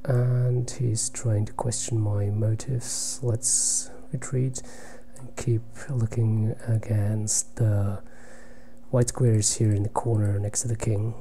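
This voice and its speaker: Soft-spoken man commentating chess. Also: lowish voice, level tone, a little hiss or hum. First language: German. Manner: thinking aloud, halting, drops to near-whisper while calculating. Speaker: chess player commentating own game. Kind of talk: live commentary while playing online blitz chess.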